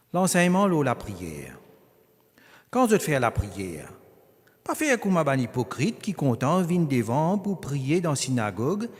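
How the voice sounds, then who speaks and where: A man reading scripture aloud at a church service, measured and emphatic. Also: medium pitch. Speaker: scripture reader. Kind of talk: liturgical Bible reading. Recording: long reverb of a large hall.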